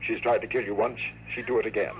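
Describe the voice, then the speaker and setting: An actor playing a scheming, power-hungry time traveler in 1950s radio drama, speaking with precise, menacing politeness. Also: male voice, lowish voice, steady tone, fast, lo-fi sound, natural voice. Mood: commanding. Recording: noisy old radio drama recording.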